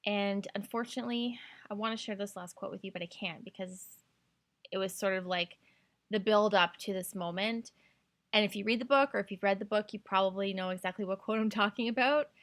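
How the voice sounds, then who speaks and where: A woman's voice: mid-range voice, a little fast, a bit bright. A woman hosting a book podcast in an enthusiastic, gushing manner. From Canada. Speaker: podcast host. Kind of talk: book recommendation podcast, casual talk with read-out blurbs and quotes.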